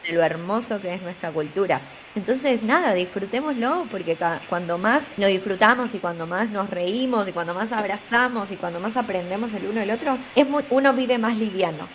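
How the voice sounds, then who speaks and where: A woman's voice: medium pitch, lively intonation, moderate pace, hardly any pauses, slight background noise. An earnest young woman from Argentina with a Buenos Aires accent, giving encouraging advice as an interview guest over a video call. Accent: Buenos Aires Spanish. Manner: earnest, encouraging, repeats phrases for emphasis. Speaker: interview guest, career coach. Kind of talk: motivational interview talk.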